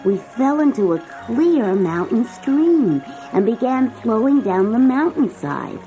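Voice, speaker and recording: A woman narrating a scripted children's story in an expressive storytelling manner. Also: fairly high pitch, slow, rough recording. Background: music.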